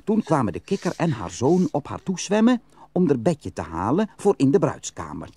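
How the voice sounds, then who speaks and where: A man narrating a fairytale as a storyteller in an expressive, scripted style. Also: mid-range voice, moderate pace, a crowd in the background. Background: music.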